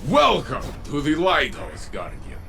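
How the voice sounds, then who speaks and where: A man's voice: fairly high pitch, wildly swooping pitch, a little slow, creaky, background noise, heavily acted. A man playing a grave, proud warrior mentor in a video game, speaking in a solemn, declamatory way. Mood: proud, encouraging. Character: grave, proud male warrior mentor, rallying his fighters. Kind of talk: dramatic video game voice acting.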